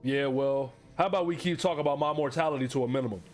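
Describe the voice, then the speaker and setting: A man's voice: medium pitch, fast talker, a little mellow, faint music behind, close to an everyday voice. An actor playing a broke, desperate divorced man who narrates his analog horror story in a resigned, confessional, wry way.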